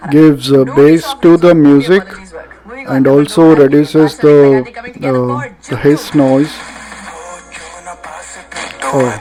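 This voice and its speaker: A man with an Indian accent giving a casual, halting product demonstration as a radio reviewer. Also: on the high side, steady tone, warm tone. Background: radio music playing.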